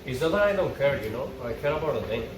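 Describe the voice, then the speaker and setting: A man's voice: mid-range voice, lively intonation, very fast, background noise, close to an everyday voice. A man reading the parts of earnest or taunting men in a supernatural TV drama at an amateur table read. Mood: worried. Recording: echoey room, distant mic, music behind.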